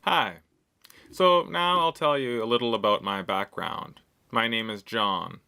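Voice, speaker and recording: A man's voice: medium pitch, measured pace, gravelly, sharp tone, soft background music. A man in his thirties from Canada's West Coast, talking about himself in simple sentences for English learners, with frequent "uh" fillers. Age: 30s. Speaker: English teacher. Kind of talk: slow, clear lesson monologue for learners.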